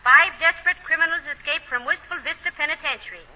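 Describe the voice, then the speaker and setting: A woman plays a homely small-town wife in a 1930s radio comedy, folksy and gently teasing. Her voice is high. The broadcast is muffled with faint hiss. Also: quick pace, lightly acted. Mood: fretful, homely.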